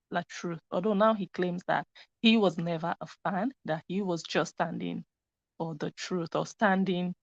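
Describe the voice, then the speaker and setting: A woman's voice: lowish voice, gravelly, no echo. Woman, live stream host, chatty, talks to viewers, lo-fi computer mic. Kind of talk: casual live stream commentary. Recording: dry, dull lo-fi sound.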